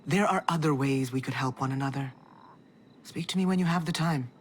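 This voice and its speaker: An actor playing a persuasive tech leader who talks in a smooth, assured way. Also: mid-range voice, brisk, slight background noise, close to an everyday voice. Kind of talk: video game cutscene acting.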